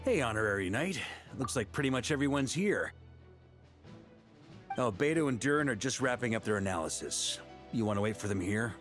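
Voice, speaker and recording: A man as a confident, animated knight commander. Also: mid-range voice, close to an everyday voice. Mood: briskly businesslike. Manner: measured tactical explaining. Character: seasoned, confident male knight commander, pragmatic and steady. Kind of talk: video game voice acting. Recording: music behind.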